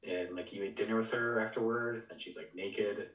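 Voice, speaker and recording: A man chatting casually about video games as a podcast co-host, halting and tentative, often repeating his words. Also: on the low side, fairly even intonation, a bit bright. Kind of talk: casual video game discussion. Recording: roomy echo, distant from mic.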